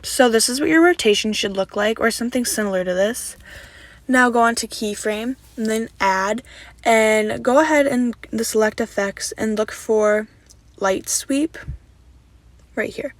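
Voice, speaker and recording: A young woman walking viewers through video editing steps in a relaxed, chatty way, her voice a little raspy because she has just woken up. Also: fairly high pitch, measured pace, no room sound. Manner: relaxed, chatty step-by-step instructions with "so yeah" fillers. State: slightly raspy from just waking up. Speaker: video editing tutorial creator. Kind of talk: casual screen-recorded tutorial voice-over.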